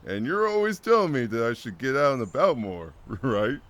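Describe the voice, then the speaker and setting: A man's voice: medium pitch, very animated, normal speed, a bit bright. A man voicing a wry, drowsy, laid-back skeleton brother in a cartoon comic dub, with a casual, joking drawl. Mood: relaxed.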